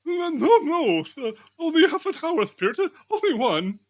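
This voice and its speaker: A man's voice: very high-pitched, big pitch swings, normal speed, voice pushed up. A man voicing a remorseful old miser who pleads and exclaims plaintively in a storybook reading. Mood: pleading.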